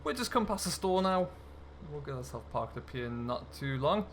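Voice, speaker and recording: Man, slightly high voice, background noise. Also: lively intonation, moderate pace. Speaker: host of a gaming video series. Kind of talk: casual farming-game role-play commentary.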